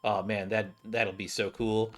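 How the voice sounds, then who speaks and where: Bright-voiced man doing gameplay commentary. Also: fairly low pitch, little pitch movement, unhurried. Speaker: gaming video host. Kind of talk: casual gameplay commentary, reading dialogue aloud. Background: faint game music.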